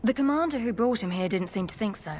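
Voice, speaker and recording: A woman's voice: on the high side, lively intonation, quick pace, darkish tone, soft background music, lightly acted. An actress playing a polite, flustered but firm female attendant in a fantasy video game. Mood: apologetic. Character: apologetic, firm female attendant soothing a patient. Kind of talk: dramatic video game acting.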